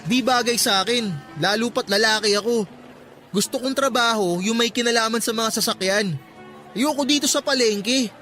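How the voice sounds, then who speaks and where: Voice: mid-range voice, moderate pace, a bit bright, soft background music, close to an everyday voice. An actor playing a resentful, whining young son who talks back to his mother in a Tagalog radio drama. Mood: whining, defiant. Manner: complaining, talks back, sulky.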